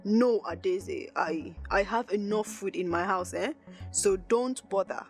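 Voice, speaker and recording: A woman storyteller playing a smooth, flattering young village man courting maidens in a folktale. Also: mid-range voice, a little slow, soft background music. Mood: dismissive, then courteous.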